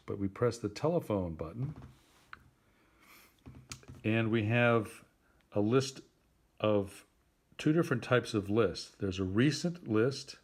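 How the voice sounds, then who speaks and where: A man giving a simple tutorial in a plain, deliberate, instructive way, like someone patiently walking a learner through each step. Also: lowish voice. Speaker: instructor helping a phone user.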